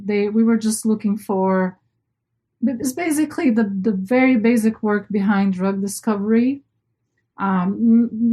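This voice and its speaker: A woman's voice: medium pitch, warm tone, poor audio. A middle-aged woman from Brazil, a native Portuguese speaker, talking casually as an interview guest and often rephrasing herself. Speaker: interview guest, marine biologist. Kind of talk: casual personal storytelling.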